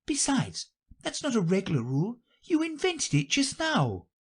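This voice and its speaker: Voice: medium pitch, wildly swooping pitch, normal speed, breathy edge, voice pushed up, exaggerated voice. A narrator voicing a plucky, sensible young girl with a light, matter-of-fact way of contradicting, in a storybook. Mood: defiant.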